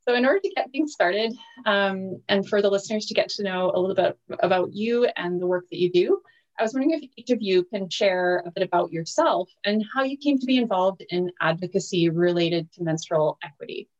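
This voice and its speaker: A woman hosting a podcast interview over a video call, measured and grateful toward her guests. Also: medium pitch, muffled recording. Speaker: podcast host.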